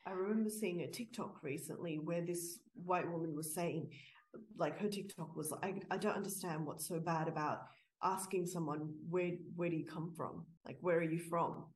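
A woman's voice: lowish voice, steady tone, moderate pace, darkish tone, low-quality recording. A woman co-hosting a casual podcast, recounting things she has seen in a chatty way, with plenty of "like" and "you know". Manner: chatty storytelling with fillers. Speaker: podcast co-host. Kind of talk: casual discussion.

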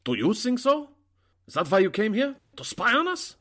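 A man's voice: high voice, huge pitch swings, normal speed, a bit bright, full character voice. A man playing a suspicious, fearful German-American in a 1940s-style radio drama, accusing and agitated.

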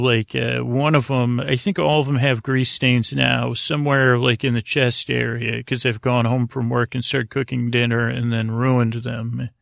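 A man hosting a podcast with a rambling bedtime monologue, halting and meandering, often stammering into false starts. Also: mid-range voice, fairly bright tone, no echo, clean studio sound. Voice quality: gravelly, creaky. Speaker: podcast host.

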